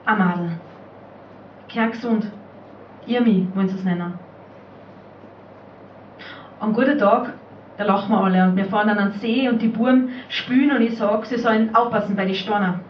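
A woman with a fairly high voice recites spoken word in Austrian dialect, in the role of an old country mother. She is recorded live in an echoey room, some way from the microphone. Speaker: poetry slam performer. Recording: roomy, distant, muffled live recording, faint noise.

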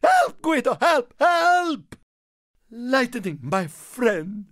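A man voicing a small, excitable, friendly Italian car in a video game, theatrical and exclamatory, with an Italian accent and broken English. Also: very high voice, very slow, no room sound, studio quality, full character voice. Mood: alarmed. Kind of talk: animated video game character lines.

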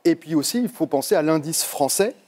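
A man's voice: on the high side, lively intonation, brisk, darkish tone. Analytical male studio pundit. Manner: analytical, hesitant. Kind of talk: TV studio debate.

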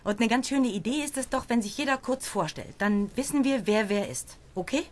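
Schauspielerin als quirlige junge Frau, lebhafte Sprachmelodie. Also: female voice, fairly high pitch, animated, a little fast, lightly acted. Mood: cheerful, eager. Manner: perky, over-friendly. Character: chirpy, eager 25-year-old group organiser. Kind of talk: German radio drama acting.

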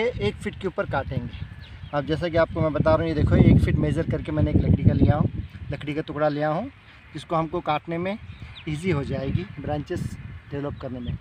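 A middle-aged man speaks in a fairly high voice. He presents an informal hands-on tutorial, going step by step and repeating points. He is recorded outdoors in an open field, with clear background noise around his voice. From India. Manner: step-by-step, repeats himself while demonstrating. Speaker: fruit farmer presenting a tutorial. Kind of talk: informal hands-on farming demonstration.

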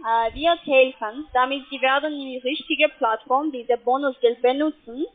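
Casting: female phone sales agent, foreign accent, non-native, high voice, halting broken sentences, thin telephone sound. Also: steady tone. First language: not German. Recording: tinny, muffled phone line.

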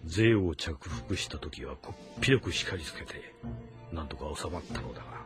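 A man's voice: a bass voice, expressive, normal speed. A man playing a proud, stern middle-aged general in a grave, disapproving inner monologue from a historical drama. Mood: stern exasperation. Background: background music.

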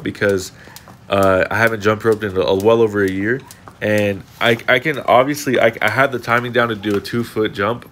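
A young man with a slightly low voice talks through a casual vlog voice-over. He sounds congested and sniffly. His delivery is earnest and reflective, and it wanders from thought to thought. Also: fairly bright tone, slight background noise. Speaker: fitness vlogger.

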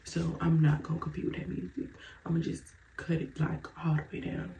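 A young woman with a stuffy, blocked nose talks rambling and chatty straight to her camera, calling her viewers y'all. Her voice sits low with a gravelly fry and a dark, mellow tone. She records in her bedroom with faint hiss behind her. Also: level tone, a little fast. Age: young adult. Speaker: vlogger. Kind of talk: casual get-ready-with-me chat. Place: bedroom at home, indoors.

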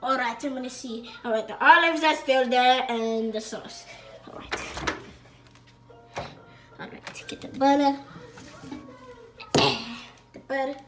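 A woman's voice: sits high, slow pace, pauses often, soft background music, voice pushed down. A woman voicing a goofy, eager male plush toy who rambles cheerfully while cooking in a home kitchen, on a handheld phone. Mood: cheerful rambling.

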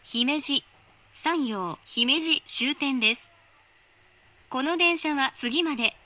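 A woman's recorded train announcement voice, polite and formal, spoken in measured phrases. Her tone is high and bright, and the sound is dry and close with no room around it. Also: dry recording.

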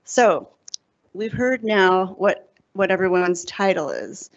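Moderator: mid-pitched woman, orderly, muffled room mic. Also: expressive, slow. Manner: organized, explains the plan step by step. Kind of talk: moderating a panel. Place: hotel conference room with an audience. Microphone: handheld microphone. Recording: dull lo-fi sound.

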